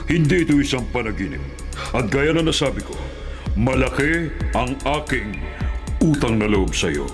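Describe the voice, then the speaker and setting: An actor playing a huge, friendly genie in a Filipino radio drama, declamatory and reassuring. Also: male voice, medium pitch, sing-song, moderate pace, soft background music, lightly acted. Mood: grateful, assured. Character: huge male genie, friendly, grateful, assured.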